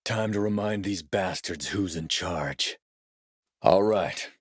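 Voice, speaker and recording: A cold, ruthless militia commander in his middle years. The voice is rough and husky, pitched on the low side and recorded close and dry. He speaks in a measured, threatening way, as one used to giving orders. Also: no echo, exaggerated voice. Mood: gruff command. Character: ruthless middle-aged male militia warlord, cold and menacing. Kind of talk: scripted video game character lines.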